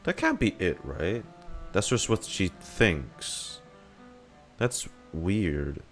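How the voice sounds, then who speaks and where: A man giving casual, halting, sweary reaction commentary on a game, musing aloud with music behind. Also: low-pitched, sing-song. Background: soft game music.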